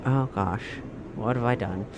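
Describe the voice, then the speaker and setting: A woman's voice: a bass voice, a little slow, noisy background. A woman playing a tabletop role-playing game, talking casually and dryly, with some swearing. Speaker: player. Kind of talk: casual improvised tabletop role-play chat. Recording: music behind the voice. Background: music.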